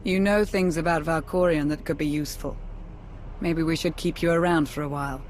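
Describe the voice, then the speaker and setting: A skeptical, pragmatic sidekick voiced by a man with a fairly high male voice. The delivery is casual and wry game acting, echoing slightly over faint noise. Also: fairly even intonation, a little fast, natural voice. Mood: suspicious. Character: skeptical, pragmatic companion of a Jedi. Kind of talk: video game cutscene acting.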